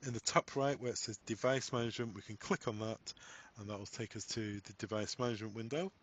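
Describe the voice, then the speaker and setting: A man presenting a software tutorial, giving step-by-step instructions in a voice-over. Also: fairly low pitch, fairly even intonation, fast talker. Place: voice-over on a screen recording.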